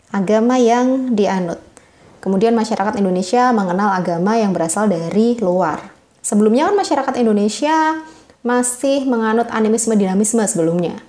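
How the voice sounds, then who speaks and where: Lady tutor going over test answers slow and patient, kinda high voice, echoey room. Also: a little mellow. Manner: reads options slowly, explains patiently to viewers. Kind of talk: exam prep lesson explaining answers.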